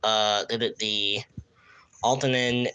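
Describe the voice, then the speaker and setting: A man casually presenting on a live stream in a halting, repetitive way, often losing his thread. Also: lowish voice, steady tone, pushed and bright, smooth, rough recording. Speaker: live streamer showing a radio collection.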